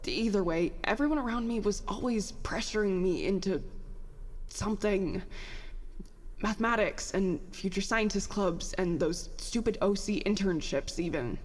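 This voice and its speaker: A woman playing a stressed, guarded young petty officer in a naturalistic audio drama, halting and rambling with self-deprecating words. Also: mid-range voice, long reverb tail, close to an everyday voice. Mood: wounded, confiding. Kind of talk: audio drama acting, naturalistic confessional dialogue.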